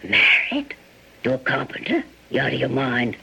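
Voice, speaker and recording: An actor playing a careworn older farm parent in a radio drama, earnest, folksy and imploring. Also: male voice, mid-range voice, sing-song, normal speed, creaky, sharp tone, breathy edge, full character voice. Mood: alarmed, pleading. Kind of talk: old-time radio drama acting. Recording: slightly noisy radio drama recording.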